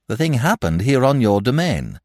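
A man reading aloud and voicing a calm, self-possessed, earnest young man. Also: medium pitch, normal speed, no echo, studio quality, pitched above the performer's own voice. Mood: firm.

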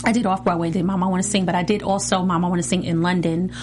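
A woman's voice: lowish voice, flat delivery, racing. A middle-aged woman talking casually as a guest in an interview, with lots of fillers like "you know" and "like". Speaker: guest, reality TV cast member and singer.

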